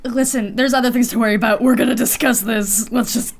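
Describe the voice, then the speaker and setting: A woman's voice: on the high side, fairly even intonation, brisk, gravelly. A woman co-hosting a podcast with casual comedic banter, riffing wryly and with exasperation in slangy language. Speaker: podcast co-host.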